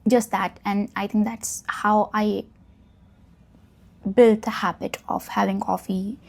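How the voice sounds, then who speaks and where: A woman's voice: medium pitch, little pitch movement, slow pace, gravelly. A young woman whose first language is Urdu, chatting reflectively in a casual get-to-know-me vlog. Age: young adult. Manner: reflective, rambling, self-deprecating. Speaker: vlogger.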